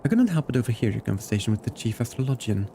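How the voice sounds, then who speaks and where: A man from Belgium reading game dialogue aloud as a formal, cautious fantasy gentleman with measured, archaic wording. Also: medium pitch, big pitch swings, gravelly, slight background noise. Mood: polite, earnest.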